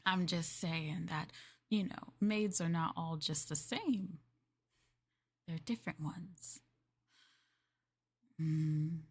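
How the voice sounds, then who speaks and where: A woman's voice: deep voice, slow, long pauses, breathy edge. A woman streamer playing a maid in a roleplay, chatting with viewers in a playful, teasing and politely formal way.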